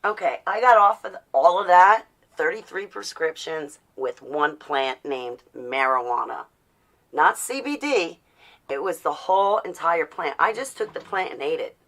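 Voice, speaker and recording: An American woman in her forties speaks off the cuff in a slightly low voice. Her talk is casual and rambling, with a blunt, defiant manner and frequent rhetorical questions. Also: lively intonation, a little slow, a bit bright. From New Jersey, United States. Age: 40s. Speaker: vlogger.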